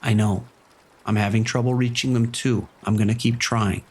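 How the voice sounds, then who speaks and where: An actor playing a calm police sergeant who gives firm, measured orders over the phone in an audio drama. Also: male voice, on the low side, slightly rough. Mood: steady, commanding.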